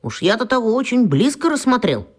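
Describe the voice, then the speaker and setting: A woman's voice: on the high side, a little fast. A woman voicing a skeptical, wry young schoolboy in a children's radio play, teasing and doubtful. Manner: skeptical, teasing, lively intonation.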